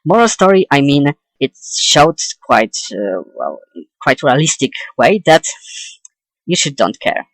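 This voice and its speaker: A young adult man giving an opinionated, informal video essay as a game commentator, halting and correcting himself as he goes. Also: medium pitch, big pitch swings, fairly bright tone, no echo, low-quality recording. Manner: hesitant, halting delivery with self-corrections.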